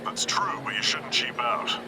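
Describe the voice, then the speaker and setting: An actor in an English game dub playing a pushy, shady middleman with a quiet, coaxing, businesslike manner. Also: medium pitch, sing-song, unhurried, whispered. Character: smooth, pushy shady middleman demanding money. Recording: loud ambient noise over the voice. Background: loud ambient noise.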